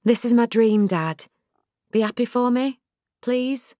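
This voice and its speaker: A woman's voice: a little high, slow, warm tone, no room sound, clean studio sound, pitched above the performer's own voice. A woman voicing a determined young woman in her late twenties who talks eagerly and insistently, a little impatient.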